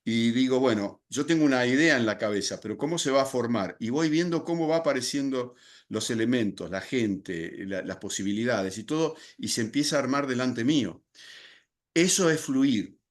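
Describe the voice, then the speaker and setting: A man's voice: medium pitch, normal speed. An older man from Argentina with an Argentine accent, speaking as a podcast guest. He explains his ideas in a reflective way, using fillers and restarting his sentences. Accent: Argentine Spanish. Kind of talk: podcast interview.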